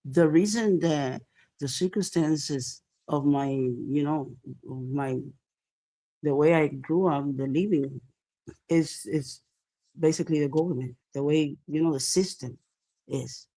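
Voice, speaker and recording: Mellow Cuban-accented woman telling stories casually. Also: sits low, unhurried, dry recording, rough recording. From Cuba. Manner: informal, peppered with swearing. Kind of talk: casual personal storytelling.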